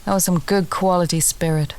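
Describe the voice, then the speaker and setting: A woman acting a wry, lowish-voiced fantasy alchemist. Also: steady tone, fairly quick, a little mellow, natural voice. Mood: wry, amused. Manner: conversational, instructive, drily humorous. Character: chatty, wry woman alchemist herb gatherer, afraid of giant spiders. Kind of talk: fantasy audio roleplay addressed to the listener.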